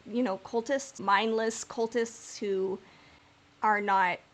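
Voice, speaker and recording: A woman's voice: medium pitch, fairly even intonation, takes it slowly. A woman hosting a podcast, chatting casually and informally as she speculates in a fan discussion. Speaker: podcast host.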